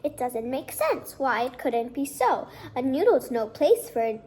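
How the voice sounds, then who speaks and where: Woman doing an excitable, high-voiced schoolboy. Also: a little slow. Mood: puzzled. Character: excitable, outspoken young schoolboy.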